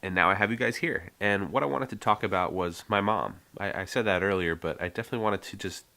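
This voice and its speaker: A young American man with a low voice talks straight to his viewers in an earnest, heartfelt way, rambling a little as he goes. His tone is bright and forceful despite the low pitch. It sounds like a casual personal vlog. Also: fast talker, gravelly, soft background music. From United States. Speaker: vlogger.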